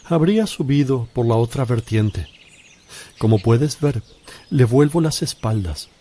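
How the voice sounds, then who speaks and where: An actor playing a calm, gentle but authoritative male teacher with measured, solemn delivery in a religious radio drama. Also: mid-range voice. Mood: gently firm. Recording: faint outdoor birdsong and ambience.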